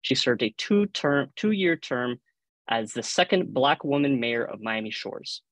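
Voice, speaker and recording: A man with a Cuban accent reads formal introductions as a panel moderator. His pitch is average and the video-call sound is dry, with little room echo. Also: big pitch swings, measured pace, a bit bright. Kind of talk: formal scripted introductions, reading biographies. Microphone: video call microphone.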